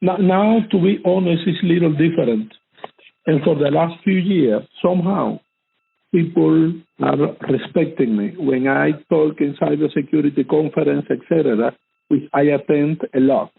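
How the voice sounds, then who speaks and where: A man's voice: on the high side, moderate pace, gravelly, breathy edge. A middle-aged man talking sincerely and casually, with an emphatic way of repeating himself. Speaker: cybersecurity conference speaker being interviewed. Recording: tinny phone-like line.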